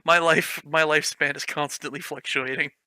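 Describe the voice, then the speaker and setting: A man with a crisp, bright, fairly high voice reads awkward fiction aloud in a mock-serious tone. He is recorded close and dry, with no room sound. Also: steady tone, normal speed, no echo. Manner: reads stiff prose with mock drama, breaks into laughter. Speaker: host reading fan fiction aloud. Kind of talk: comedic dramatic reading with commentary.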